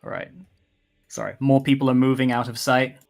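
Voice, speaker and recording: A man's voice: medium pitch, flat delivery, a little fast, vocal fry, darkish tone. A man running a tabletop game casually as game master. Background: music.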